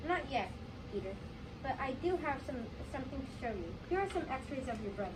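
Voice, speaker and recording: A female reader playing a calm, reassuring hospital doctor in a school readers theater, halting a little, on a distant noisy mic. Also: high-pitched. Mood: explaining. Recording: distant mic, background noise.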